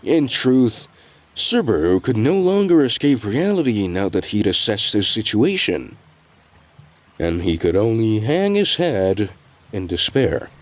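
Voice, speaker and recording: A man reading scripted dramatic narration in a measured storytelling delivery, with deliberate pauses. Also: fairly low pitch, big pitch swings, no echo.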